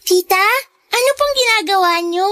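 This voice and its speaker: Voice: very high voice, lively intonation, normal speed, sharp tone, no echo, exaggerated voice. A performer voicing a polite, timid little girl in a Filipino radio drama, speaking in a childlike, deferential way. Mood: curious, admiring. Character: sweet, polite, timid little girl, younger half-sister.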